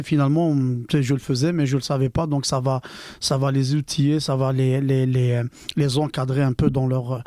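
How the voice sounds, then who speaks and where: Mellow-voiced man hosting. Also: mid-range voice, dry recording. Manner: supportive, finishes the guest's sentences. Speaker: podcast host. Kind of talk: podcast interview.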